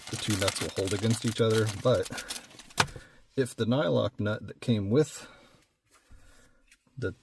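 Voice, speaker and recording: Man, low pitch, casual how-to host, unhurried, thinking aloud, hands-on demonstration, faint background noise. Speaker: host of hands-on car accessory install video.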